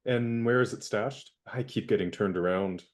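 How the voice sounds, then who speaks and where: A young man acting an indignant valet in a radio play, crisp and lecturing. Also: fairly low pitch, normal speed, natural voice. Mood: friendly.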